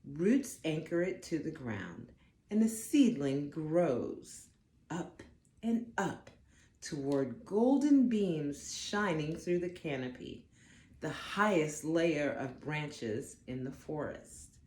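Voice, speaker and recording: Woman, children's storybook read-aloud. Low, mellow voice, expressive delivery, roomy sound. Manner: slow, expressive, deliberate phrasing for young listeners. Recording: roomy echo, faint background noise.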